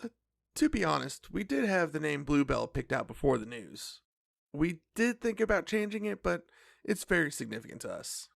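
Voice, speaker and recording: A man acting a polite, earnest cafe owner in a fantasy audio drama, speaking in a measured way. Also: on the high side, very animated, vocal fry, dry recording, studio quality, full character voice. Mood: polite, careful. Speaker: voice actor in a fiction podcast.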